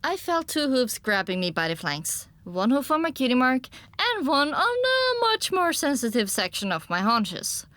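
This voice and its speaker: A woman narrating a scripted first-person story for an audiobook in an expressive storytelling manner. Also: high voice, moderate pace, hardly any pauses, no room sound.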